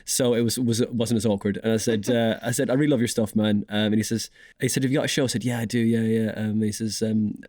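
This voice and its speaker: Low-voiced rambling male comedian host, dry. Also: steady tone, quick pace, darkish tone, dry recording. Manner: rambling anecdotes, stammering restarts. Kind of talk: casual podcast chat.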